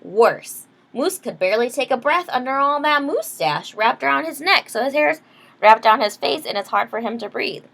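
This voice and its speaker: High-voiced woman reading to children. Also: animated, moderate pace, gravelly, a bit bright. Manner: simple explanations with frequent check-in questions to young listeners. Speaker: children's storybook reader, teacher. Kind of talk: read-aloud storytime with explanations.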